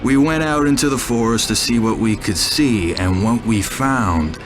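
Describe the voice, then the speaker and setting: A man playing a folksy, dutiful camp staffer, recounting events in a measured diary-like monologue for a horror podcast. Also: medium pitch, music in the background, close to an everyday voice, low-quality recording. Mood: reflective, wary.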